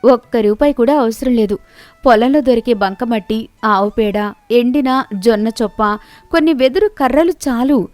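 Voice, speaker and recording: A kind young village wife, acted out by a woman storyteller in a moral tale. She speaks in a high voice, earnest and respectful, with a close, dry sound. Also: moderate pace, darkish tone, no echo, natural voice. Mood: bright, determined. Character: kind, resourceful young village daughter-in-law, patient and hardworking.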